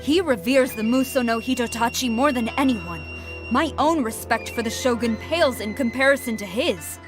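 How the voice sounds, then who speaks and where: A woman's voice: on the high side, expressive, normal speed, music in the background, close to an everyday voice. A woman playing a stern, proud, loyal army general in an anime video game, formal and commanding. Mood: indignant.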